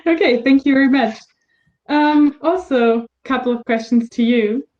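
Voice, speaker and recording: Panel moderator, woman, higher voice, polite and measured; muffled call sound. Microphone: video call. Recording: dull video call audio.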